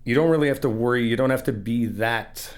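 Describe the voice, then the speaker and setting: A man's voice: medium pitch, brisk, natural voice. A man playing an easygoing, encouraging friend in a casual ASMR roleplay, chatting informally and explaining things patiently. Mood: patient, instructive. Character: easygoing, encouraging male friend helping paint an apartment.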